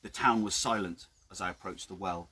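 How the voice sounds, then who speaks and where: A man reading his own story dramatically outdoors, with measured, hushed and suspenseful delivery. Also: deep voice, fairly even intonation, husky. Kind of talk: dramatic scripted story narration. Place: outdoors by a town well.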